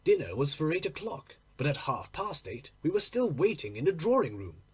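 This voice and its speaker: A man acts out a troubled, lovestruck gentleman from a classic storybook. He is earnest and impatient, speaking in a fairly high, husky voice with dramatic swings of melody. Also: very animated, moderate pace, full character voice. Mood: wistful, fervent. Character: troubled, impatient, lovestruck English gentleman telling his secret.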